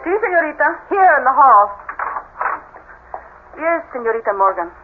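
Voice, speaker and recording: Stern, tinny-sounding housekeeper. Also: female voice, high-pitched, very animated, normal speed. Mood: guarded. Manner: solemn, unyielding, formal. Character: stern, devoted female housekeeper, quietly defiant. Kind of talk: radio mystery drama acting. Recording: tinny phone-like vintage radio, hiss.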